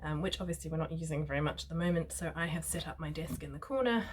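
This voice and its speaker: A woman with a New Zealand accent, slightly hoarse from a cold, chatting casually as she presents a sewing tutorial. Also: sits low, fast. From New Zealand, Christchurch. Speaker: sewing vlogger.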